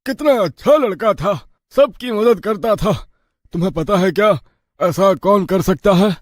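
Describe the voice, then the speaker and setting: Guy doing a naive village shop owner, mild n confused, high voice, horror story. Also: animated, measured pace, no echo, clean studio sound. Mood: sorrowful. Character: naive, kindly village shopkeeper, oblivious husband.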